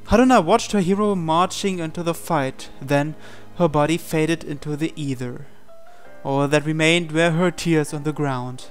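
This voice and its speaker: A man's voice: on the high side, clean tone. A man reading a visual novel aloud as a let's player, with theatrical storytelling and casual asides. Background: game music.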